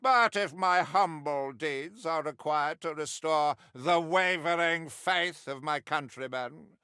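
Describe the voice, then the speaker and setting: A man's voice: fairly high pitch, slow pace, sharp tone, clean tone, no echo, studio quality. A male actor playing a zealous, haughty middle-aged witch hunter in a video game, declaiming his briefings in pompous, archaic style. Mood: pompous, self-important. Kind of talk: theatrical scripted video game mission briefings.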